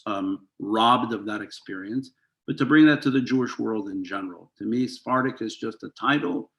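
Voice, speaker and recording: A man in his fifties with a low, gravelly voice, speaking over a video call. He is a rabbi on a panel, talking reflectively and earnestly, and he pauses often on ums and uhs. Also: muffled recording. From United States. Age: 50s.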